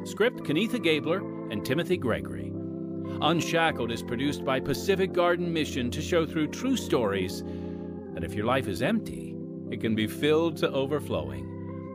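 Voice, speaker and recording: A man announcing for a radio program in scripted, evangelistic narration. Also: a little high. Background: music.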